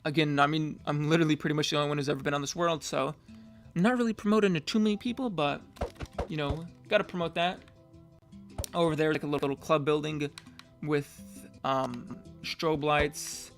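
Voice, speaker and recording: A young man casually touring his game world, rambling and correcting himself, his voice getting hoarse. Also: on the high side, steady tone, brisk, faint music behind. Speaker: gamer giving a world tour.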